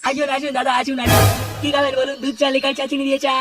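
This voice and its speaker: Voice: sits high, monotone, very fast, loud music behind the voice, close to an everyday voice. A performer voicing a poor, put-upon older tea seller, whining and repeating himself in a comedy cartoon. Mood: hawking. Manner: whining, repetitive, pleading. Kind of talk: Bengali comedy cartoon voice acting. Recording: noisy, distant pickup with clicks.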